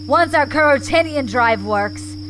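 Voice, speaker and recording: A woman playing a vengeful, scheming fox commander in an audio space drama, menacing and deliberate in a theatrical way. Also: high voice, expressive, over music. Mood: vengeful, gloating. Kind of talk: scripted audio drama acting.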